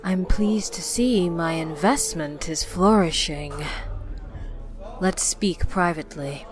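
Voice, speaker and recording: Commanding fantasy heroine voiced by a woman: lowish, unhurried, curt and imperious, music underneath. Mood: cool, commanding. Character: curt, commanding female benefactor, ancient fantasy adventurer. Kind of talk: fantasy audio drama acting.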